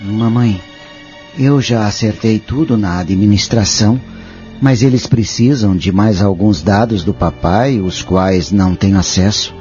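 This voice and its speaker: Actor as a mellow, dutiful Brazilian son. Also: male voice, on the low side, unhurried, faint music behind, close to an everyday voice. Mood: businesslike. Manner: polite, formal. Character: dutiful adult son, practical and respectful. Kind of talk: radio drama acting. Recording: dull lo-fi audio drama recording.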